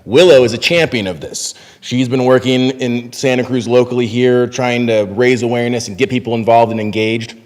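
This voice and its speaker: A man's voice: medium pitch, fairly even intonation, normal speed, hardly any pauses. A man in his thirties giving an informal, fluent, appreciative speech as an activist at a podium microphone. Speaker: activist speaker. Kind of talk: informal spontaneous public speech. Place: large synagogue hall, indoors. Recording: reverberant hall sound.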